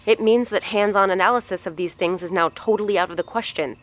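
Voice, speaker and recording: A woman's voice: mid-range voice, quick pace, natural voice. Woman as a blunt research lab chief, swears a lot, commanding, audio drama. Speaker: facility head.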